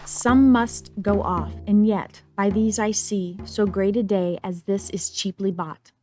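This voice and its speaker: A woman's voice: medium pitch, steady tone, a little slow, darkish tone, faint music behind, lightly acted. A woman playing a stoic old soldier lord and father in an amateur Shakespearean dramatic reading. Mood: solemn. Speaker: amateur reader in library staff dramatic reading.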